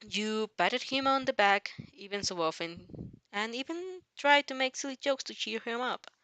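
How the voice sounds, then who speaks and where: A woman reading a dramatic fan fiction story aloud as narrator, halting now and then over the text. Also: fairly high pitch, lively intonation, bright, forceful tone, no echo, low-quality recording. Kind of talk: dramatic audio story narration in second person.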